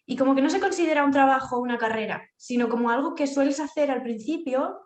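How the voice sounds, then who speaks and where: Interview guest: woman, Spain accent, fairly high voice, conversational, recorded in a reverberant room. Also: fast. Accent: Spanish from Spain. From Castilla-La Mancha, Spain. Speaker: interview guest, freelance project manager. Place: indoors at home, echoey room.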